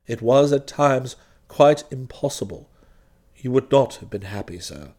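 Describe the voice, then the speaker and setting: A man voicing an unflappable, deferential English valet in a storybook reading, with formal, measured and faintly superior phrasing. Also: mid-range voice, animated, warm tone, voice pushed down. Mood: frank, composed.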